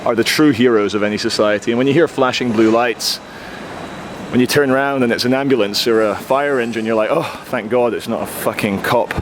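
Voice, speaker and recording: A hoarse man with a cold talks into his camera as he walks a city street, trading in wry, sarcastic asides. His voice sits at an ordinary male pitch, and steady traffic noise hums behind him in the outdoor recording. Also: fairly quick. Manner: wry, sarcastic running commentary. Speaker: vlogger. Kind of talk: casual walking commentary.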